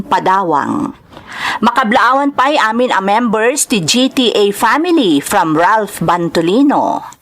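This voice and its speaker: A woman's voice: mid-range voice, a bit bright. A woman with an Ilocano accent hosting a drama programme, reading out greetings and a listener's letter. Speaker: host and drama narrator.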